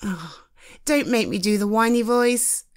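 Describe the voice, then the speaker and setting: A woman's voice: on the high side, a little slow. A British woman acting a cute, coaxing, easily flustered girlfriend in a casual one-sided roleplay conversation. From United Kingdom. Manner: cute, coaxing, giggly, expressive. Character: playful, eager, easily flustered girlfriend talking to partner. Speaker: roleplay voice performer.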